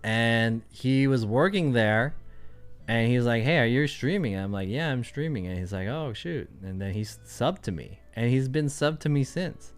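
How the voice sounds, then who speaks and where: A man's voice: medium pitch, lively intonation, normal speed, clear, resonant tone, soft background music. A man chatting casually on his livestream, rambling and thinking aloud with lots of fillers. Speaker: streamer.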